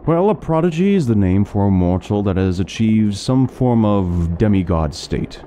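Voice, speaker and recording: An actor playing a learned doctor who eagerly lectures on lore in an interactive fantasy story. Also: male voice, lowish voice, lively intonation, normal speed, mellow, a little hiss or hum, natural voice. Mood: explaining. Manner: talkative, lecturing, fond of lore. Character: scholarly male doctor, middle-aged, chatty lore lover.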